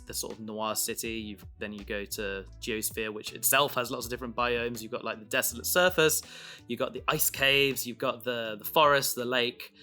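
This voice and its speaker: A man talks casually and reflectively in a bright, forward voice. He pieces thoughts together as he speaks, in a halting way. Soft guitar music plays faintly behind him. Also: fairly low pitch. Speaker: podcast creator and host.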